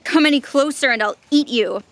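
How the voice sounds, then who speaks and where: Woman as a high-voiced, sullen little bird. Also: brisk, heavy background noise. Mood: menacing. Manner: sullen, dramatic, taunting. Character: miserable, defiant little shapeshifting bird longing for destruction. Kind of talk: scripted comic audio drama.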